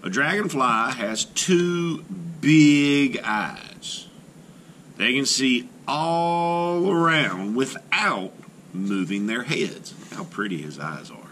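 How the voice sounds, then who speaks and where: Male teacher reading a picture book aloud at a slow, lively pace, with a bright tone and faint room hiss. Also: mid-range voice. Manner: slow, careful reading with rising emphasis. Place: indoors at a table, camera over the book.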